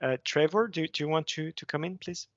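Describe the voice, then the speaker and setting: Man, mid-pitch, session chair, dry dull audio, lots of uhs. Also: a little fast, a bit bright, no room sound. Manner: hesitant, filler-heavy. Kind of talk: chairing a conference Q&A.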